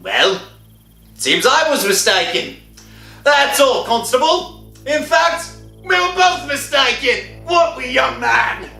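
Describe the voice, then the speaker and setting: A man's voice: very high voice, big pitch swings, takes it slowly, vocal fry, bright, forceful tone, soft background music, full character voice. A man playing a wry, placating old gravedigger in a 1960s radio horror drama. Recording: old radio recording, echoey.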